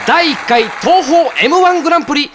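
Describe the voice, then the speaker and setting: A woman's voice: sits high, lively intonation, a little fast, over a noisy crowd, very noisy. A woman playing a chatty, bemused emcee hosting a comedy contest in an audio drama. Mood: showy, excited. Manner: wry, reacting, showy announcing. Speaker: host. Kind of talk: game-show style hosting in audio drama. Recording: voice over background music.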